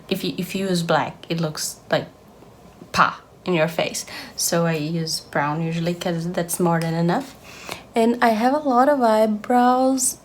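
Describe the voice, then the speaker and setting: A woman's voice: mid-range voice, slight background noise. Chatty woman. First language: Portuguese. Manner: chatty, giggly, many fillers and restarts. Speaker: beauty vlogger. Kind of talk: casual makeup tutorial. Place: home, at a lit mirror.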